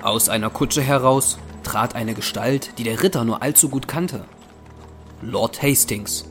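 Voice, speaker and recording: A man's voice: medium pitch, unhurried. A man narrating an audio drama in a scripted, dramatic storytelling style. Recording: voice under loud music.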